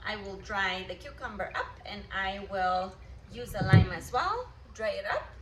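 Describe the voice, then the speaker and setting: A woman's voice: mid-range voice, lively intonation, a little slow, heavy background noise. A young woman from Guatemala hosting a kid-friendly cooking class, cheerful and upbeat, talking straight to the viewers. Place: kitchen. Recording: roomy kitchen, faint ambience.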